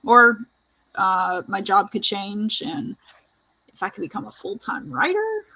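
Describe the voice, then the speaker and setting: Woman chatting on her stream, kinda raspy but bright, super informal, says like a lot. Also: medium pitch, very animated, measured pace, low-quality recording. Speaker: livestream host. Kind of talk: casual chat on a writing livestream.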